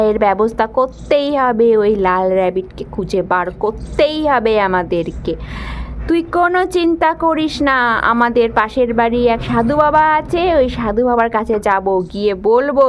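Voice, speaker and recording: Woman as bossy caring mom doll, lively high voice, bit of background noise, kids story. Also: close to an everyday voice. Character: bossy, caring village mother of a young girl. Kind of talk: children's doll-play story.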